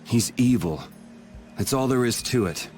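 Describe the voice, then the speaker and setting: A man's voice: medium pitch, expressive, measured pace, a little mellow, faint music behind, lightly acted. An actor playing a blunt, cool-headed and defiant young swordsman in a fantasy video game. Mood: grimly determined. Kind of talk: English game dub acting.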